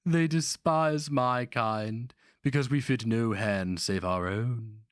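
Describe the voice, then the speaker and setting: A man voicing an aged, frail, haughty grandmaster sorcerer in a dramatic fantasy reading, hissing slowly with sly menace. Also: mid-range voice, smooth, dry recording, studio quality, pitched above the performer's own voice, natural voice. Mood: scornful insinuation. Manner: hissing, slow and deliberate.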